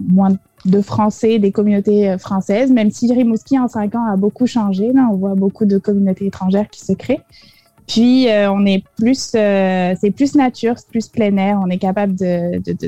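A woman's voice: medium pitch, dark tone, no room sound, lo-fi sound. A young woman from France with a French accent tinged by Quebec, answering interview questions, hesitant, with many fillers and restarts. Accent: French with Quebec influence. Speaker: guest.

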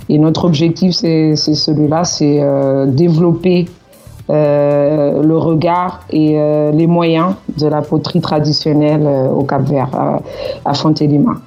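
A woman's voice: sits low, level tone, unhurried, mellow, muffled recording, faint music behind. A woman from Portugal, speaking as a guest on a radio interview, earnest and full of hesitations. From Portugal, Cape Verdean parents. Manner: hesitant, many fillers, earnest. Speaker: association president.

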